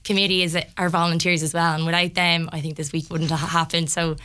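A woman in her twenties with a Dublin accent, slightly hoarse, talking as a lively radio guest. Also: lowish voice, a little fast. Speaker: festival contestant guest.

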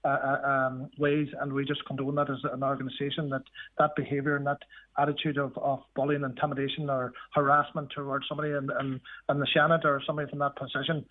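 A man calling in to a radio show for a community organisation, speaking earnestly and haltingly with fillers. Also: medium pitch, completely flat delivery. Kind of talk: radio phone contribution. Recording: tinny phone line.

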